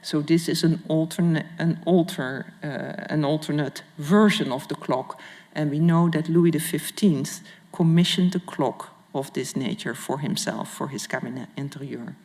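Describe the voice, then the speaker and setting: A woman curator giving a public art history lecture in a formal, halting manner with careful phrasing. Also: sits low, darkish tone. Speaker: museum curator and guest lecturer. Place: large indoor lecture hall with audience. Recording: reverberant lecture hall.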